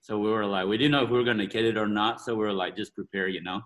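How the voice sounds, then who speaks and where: Man, middle-aged, from South Asia, bright tone, slightly low voice, quick earnest talk. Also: steady tone. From India or Burma. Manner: quick, earnest, broken English with many "you know"s. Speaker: martial arts school owner. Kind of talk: casual interview talk.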